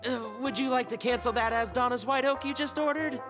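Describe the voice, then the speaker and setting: A woman's voice: high-pitched, brisk, lightly acted. A female actor playing a polite, coy bartender in a video game, courteous and formal, with long reverb on her voice. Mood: polite, sly. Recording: long hall reverb under loud music.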